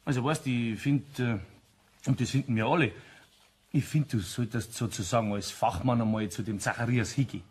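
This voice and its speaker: A man playing a sly, chummy locksmith with a husky voice and a Bavarian accent in a German audio play. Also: mid-range voice, brisk, darkish tone, close to an everyday voice. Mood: coaxing. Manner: hesitant, chuckling, folksy.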